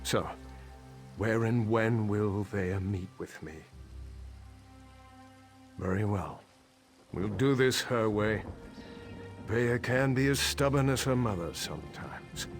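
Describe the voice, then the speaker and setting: Casting: middle-aged councilor, stern protective father, unhurried authority, mid-pitched, game dialogue with faint music. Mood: stern, resigned. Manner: authoritative, measured. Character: stern, commanding noble councilor and worried father. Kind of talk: video game voice acting.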